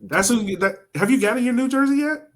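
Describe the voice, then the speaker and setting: A man's voice: very high-pitched, quick pace. An American man co-hosting a sports podcast, chatting casually and animatedly in slangy phrasing as he breaks down soccer.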